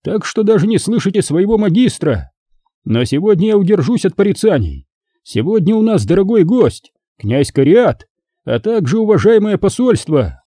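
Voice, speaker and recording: A high, thundering voice of a proud knightly grand master , as a male narrator acts him out. He orates with ceremony and emphasis in a storybook manner, over a soft, dark timbre. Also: very animated, normal speed, no echo, studio quality. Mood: solemn, thundering.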